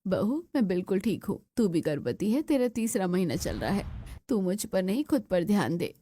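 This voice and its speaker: A woman's voice: medium pitch, sing-song, moderate pace, mellow, a little hiss or hum, lightly acted. A woman voicing a doting older village mother-in-law in a cartoon story, motherly and emphatic. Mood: concerned. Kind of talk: Hindi cartoon story dialogue.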